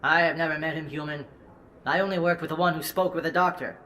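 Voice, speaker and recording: Game alien merchant: high, bright, nervous and fawning, broken phrasing. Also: male voice, fairly even intonation, a little hiss or hum. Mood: relieved. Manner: halting broken English, obsequious. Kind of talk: video game character acting.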